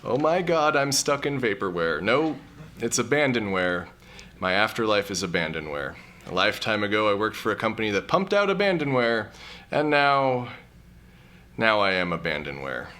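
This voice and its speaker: A man's voice: lowish voice, sing-song, normal speed, sharp tone, slight background noise. A man playing an awkward, melancholy, exasperated character in an audio comedy-drama, with a hesitant, stammering delivery. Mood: despairing.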